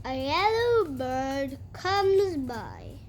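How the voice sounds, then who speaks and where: A young girl tells a scripted story in a high, lively voice. She takes her time over the words, and there is some faint noise in the recording. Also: drawn-out words. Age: child, early primary school. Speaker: pupil presenting a puppet show.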